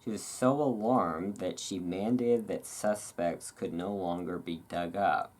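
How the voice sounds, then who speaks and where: A host giving a relaxed ASMR read-aloud, drifting into casual, musing asides. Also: deep voice, unhurried. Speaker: host reading and commenting on a magazine.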